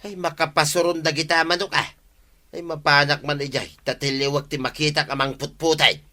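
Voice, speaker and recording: An actor playing a hot-tempered village man who grumbles and flares up quickly, in a radio comedy drama. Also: on the high side, level tone, bright, forceful tone. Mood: grumbling. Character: hot-tempered village man fuming over his crops.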